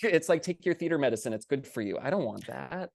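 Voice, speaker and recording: A man's voice: mid-range voice, lively intonation, fast, vocal fry, no echo, clean studio sound. Man making emphatic points in discussion. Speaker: theater panelist. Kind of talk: panel discussion.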